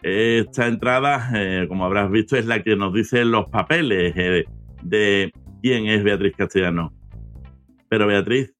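A man's voice: lowish voice, a little slow, a bit bright, soft background music. A man hosting an interview podcast in a conversational way, introducing and questioning a guest.

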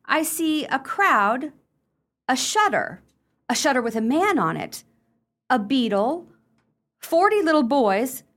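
A woman's voice: sits high, sing-song, takes it slowly, long pauses, a bit bright, full character voice. A woman playing a watchful, wry sister in a comic period radio play, declaiming theatrically. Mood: excited lookout.

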